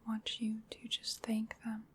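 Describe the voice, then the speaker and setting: A woman playing a gentle, solemn witch in an ASMR roleplay, whispering in a breathy, soothing, ceremonial way. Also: a little high, monotone, moderate pace, slight background noise, lightly acted. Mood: gentle, reverent. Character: gentle, solemn witch leading a Samhain ritual.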